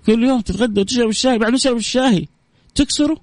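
A man's voice: extremely high pitch, very fast, voice pushed up. A man voicing a baffled female TV interviewer who keeps repeating her questions in disbelief. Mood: incredulous.

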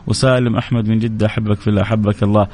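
A man's voice: lowish voice, little pitch movement, rapid-fire, a little mellow. A man hosting a live radio program, reading out listeners' names and messages and answering each with a blessing.

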